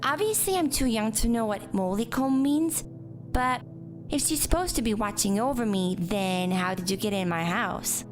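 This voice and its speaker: A woman's voice: fairly high pitch, moderate pace, close to an everyday voice. A woman playing a bold, scheming, childlike young girl with a sassy manner in a horror audio drama. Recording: loud music behind the voice.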